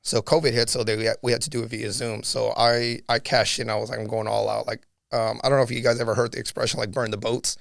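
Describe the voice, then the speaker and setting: A man with a raspy voice, a podcast guest telling his life story in an earnest, reflective way. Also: medium pitch, level tone, fast, hardly any pauses, a bit bright, no room sound, studio quality. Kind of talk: casual storytelling conversation.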